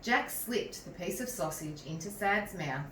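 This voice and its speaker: A woman reading a picture book aloud to young children in a measured, animated way, pausing to chat to them. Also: lowish voice. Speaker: teacher reading a story to children. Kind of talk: expressive picture-book read-aloud with asides to young listeners. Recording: roomy echo, distant mic, some noise.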